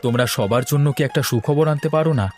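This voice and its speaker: A man's voice: mid-range voice, fast talker, a little mellow, faint music behind, close to an everyday voice. A man playing a weary, anxious husband and father in a cartoon, with a pleading manner. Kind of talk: Bengali cartoon voice acting.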